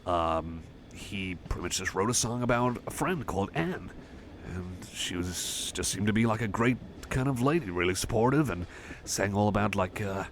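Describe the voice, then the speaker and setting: A man playing a shy, friendly cyborg music fan, chatting softly and hesitantly in a casual ASMR roleplay. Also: on the low side, normal speed, a little hiss or hum, close to an everyday voice. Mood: bashful, rambling. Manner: hesitant, rambling, self-effacing.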